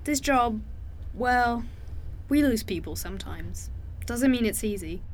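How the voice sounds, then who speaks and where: A woman's voice: on the high side, animated, unhurried, a little hiss or hum. A woman playing a tough, world-weary crew member in an audio drama, wry and understated, by turns menacing and compassionate. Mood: weary, subdued.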